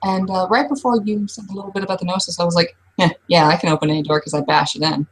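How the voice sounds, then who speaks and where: Woman playing a husky, low-voiced, blunt werewolf. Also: fairly even intonation, fast, lightly acted. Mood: blunt, skeptical. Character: blunt, skeptical female werewolf questioning a survivor. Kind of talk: in-character tabletop roleplay dialogue.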